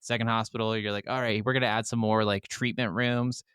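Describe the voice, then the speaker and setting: Clear-voiced man chatting as podcast co-host. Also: fairly low pitch, flat delivery, fairly quick, fairly bright tone, no echo, studio quality. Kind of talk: casual chat about video games.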